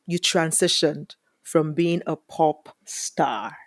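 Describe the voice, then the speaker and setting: A woman hosting a podcast in an upbeat, bubbly way with excited exclamations. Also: sits low, slow pace, no room sound. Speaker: podcast host. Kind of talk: podcast interview. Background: music.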